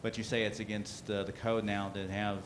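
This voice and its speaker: A man's voice: on the low side, flat delivery, brisk, noisy background. A man making a blunt, frustrated public comment at a community meeting.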